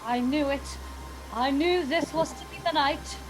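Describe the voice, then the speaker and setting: Actress as fretful housekeeper, high-pitched, theatrical, superstitious, foreboding, radio drama, music behind. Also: female voice, measured pace, rough recording. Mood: alarmed, foreboding. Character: fretful, superstitious, doom-saying female housekeeper. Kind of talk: theatrical radio play acting.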